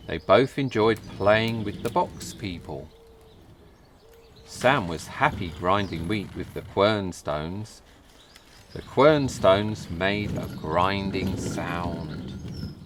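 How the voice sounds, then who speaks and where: Slow-reading, low-voiced man telling a children's story. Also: slight background noise. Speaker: storybook reader. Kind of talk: scripted children's story narration.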